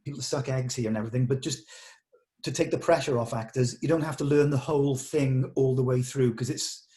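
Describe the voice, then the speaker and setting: Mellow-voiced man, explaining things casually. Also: mid-range voice, fairly even intonation, a little fast. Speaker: film director leading a table read. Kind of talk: casual production meeting talk.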